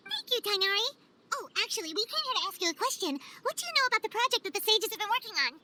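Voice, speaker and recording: A woman voicing a small, childlike, excitable fairy companion in a video game, earnest and exclamatory. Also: very high-pitched, very fast, heavily acted. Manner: refers to self in third person, exclamatory. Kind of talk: video game cutscene voice acting.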